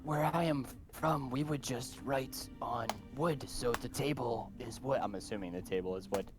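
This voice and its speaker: A man improvising in character as a tabletop game player, with formal, literal, deadpan phrasing. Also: mid-range voice, normal speed, over music.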